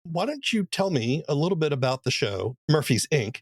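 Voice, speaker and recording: A man's voice: a little high, very animated, moderate pace, no echo, clean studio sound. A man hosting a podcast interview and asking his guests questions with curiosity.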